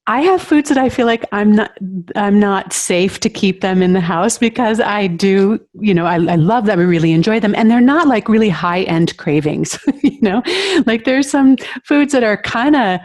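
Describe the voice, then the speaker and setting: A woman's voice: medium pitch, animated, normal speed, darkish tone. A middle-aged woman, a nutrition coach, talking as a podcast guest in a thoughtful, reflective way with frequent hesitations. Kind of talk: casual interview chat.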